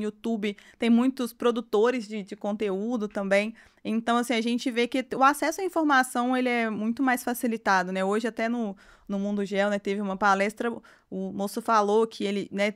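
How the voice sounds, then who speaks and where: A woman's voice: mid-range voice, clear, resonant tone. A woman, a guest in a podcast interview, explaining at length and tagging nearly every phrase with a filler. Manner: rambling, frequent "né" tags. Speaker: guest engineer.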